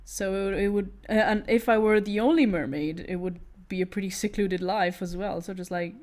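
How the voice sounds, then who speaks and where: A woman's voice: medium pitch, normal speed, a little mellow. A woman with a British accent, born in Italy, chatting casually with her stream while she draws, giggling often and restarting her sentences. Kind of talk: casual chat while drawing.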